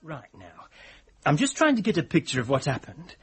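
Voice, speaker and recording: A male police sergeant in a radio drama. He questions patiently and a bit awkwardly, in a very husky voice with lively swings of pitch. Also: normal speed, heavily acted. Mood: patient, questioning.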